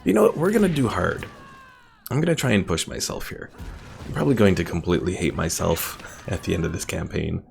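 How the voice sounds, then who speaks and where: A man's voice: sits low, animated, fairly quick, creaky, a little hiss or hum. A young man giving easygoing, chatty live commentary as he streams a game. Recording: faint music behind the voice.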